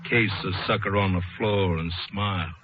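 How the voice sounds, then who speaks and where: An actor narrating as a hard-boiled, romantic jazz-age balladeer, reciting rhyming slang verse rhythmically in an old radio drama. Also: male voice, low-pitched, steady tone, normal speed, lightly acted. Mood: dramatic, wistful. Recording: muffled vintage radio, loud music behind.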